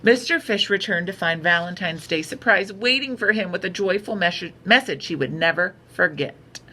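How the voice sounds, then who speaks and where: A woman reading a picture book aloud for children in an expressive, unhurried storytelling manner. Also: on the low side. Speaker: storytime reader. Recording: noticeable background noise.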